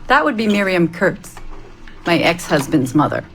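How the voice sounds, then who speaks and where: An actress playing an earnest, wry, driven research scientist in a video game. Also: female voice, on the low side, big pitch swings, slow, fairly bright tone, faint music behind, full character voice, low-quality recording. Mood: wry, curious. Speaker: researcher and test subject.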